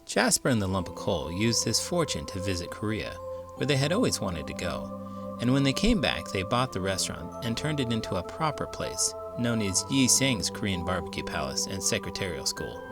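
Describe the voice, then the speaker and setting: A man hosting a children's read-aloud, narrating in an expressive, theatrical storytelling style. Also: fairly low pitch, normal speed, loud music behind the voice.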